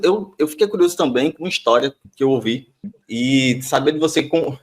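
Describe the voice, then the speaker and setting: Curious Brazilian podcast host. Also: male voice, mid-range voice. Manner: friendly, curious, repeats words. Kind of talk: podcast interview, casual.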